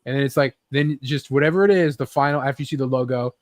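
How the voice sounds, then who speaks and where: A man's voice: mid-range voice, fast, dry recording, studio quality. A man hosting a casual, sweary chat about geek culture, rambling and often acting out little imagined bits. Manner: rambling, acts out imagined scenes with sound effects. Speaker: host leading a group chat about games and films.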